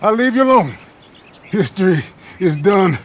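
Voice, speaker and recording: An actor playing a cornered, resentful young gang member in a video game cutscene, strained and bitter. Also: male voice, sits high, lively intonation, moderate pace, a little hiss or hum. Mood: pleading.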